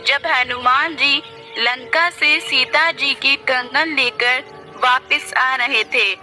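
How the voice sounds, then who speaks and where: A woman's voice: sits high, fairly even intonation, slow pace, pushed and bright, soft background music. A woman narrating a scripted story as a storyteller.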